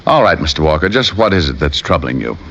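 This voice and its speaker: An actor playing a breezy, wisecracking private detective with an American accent and glib, sardonic patter in old radio drama style. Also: male voice, rumbling low, sing-song, quick pace, a bit bright, exaggerated voice. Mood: wry, patient. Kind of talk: 1940s-50s radio acting. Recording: dull old-time radio recording.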